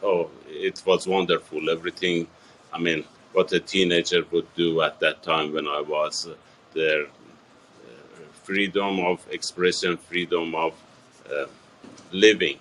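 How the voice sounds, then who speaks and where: Deep-voiced older man. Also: little pitch movement, fairly bright tone, slight background noise, rough recording. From Iran. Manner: halting, many fillers and restarts, earnest. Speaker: interviewee. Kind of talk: TV news interview.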